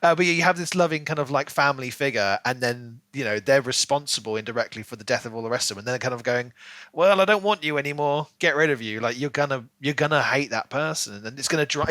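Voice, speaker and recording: Bright-voiced man rambling on a podcast. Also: medium pitch, no room sound. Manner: rambling, talks in long runs with many "you know"s. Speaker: podcast co-host. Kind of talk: casual book discussion.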